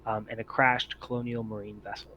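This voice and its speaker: A man improvising narration as game master of a tabletop roleplaying game, often halting as he thinks aloud. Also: fairly low pitch, flat delivery, sharp tone, highs cut off, slight background noise.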